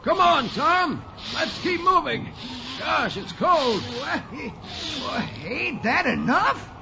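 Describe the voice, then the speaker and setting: A performer playing a hardy rustic New England youngster in a 1940s radio drama, calling out loudly with drawn-out words. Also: sits high, sing-song, creaky, heavily acted. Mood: weary, straining. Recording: muffled noisy 1940s radio recording.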